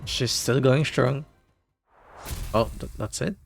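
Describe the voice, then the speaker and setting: A man's voice: mid-range voice, slow, gravelly, faint music behind. A man from Poland, a streamer giving casual, offhand commentary while playing a game.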